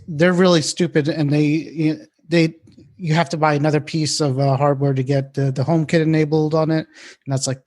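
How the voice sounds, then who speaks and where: Higher-voiced man chatting casually about tech. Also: a little mellow. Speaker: podcast co-host.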